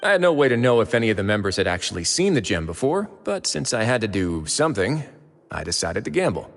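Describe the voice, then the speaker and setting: An actor playing a composed, polite man in charge, speaking in a measured, formal way. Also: fairly low pitch, very animated, big-room sound, a little hiss or hum. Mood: calm. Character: composed male warden, calm and courteous.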